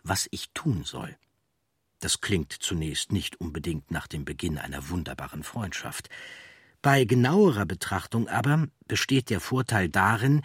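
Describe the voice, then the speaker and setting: A man with a standard German accent reading scripted documentary audiobook narration in a measured, articulate way. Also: sits low, breathy edge, dry recording, studio quality.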